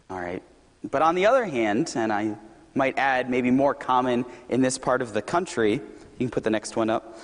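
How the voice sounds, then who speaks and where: A young man gives a Sunday sermon with an easygoing, joking warmth, his voice slightly hoarse. His words ring out with a long echo through a big church hall. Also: medium pitch. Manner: relaxed, self-deprecating and conversational. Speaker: youth director and occasional preacher.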